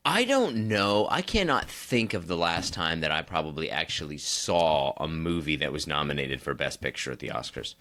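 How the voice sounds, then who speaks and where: A man's voice: extremely low pitch, very animated, moderate pace, few pauses, sharp tone. A man co-hosting a casual podcast, teasing his friend with dry, sarcastic banter. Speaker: podcast co-host.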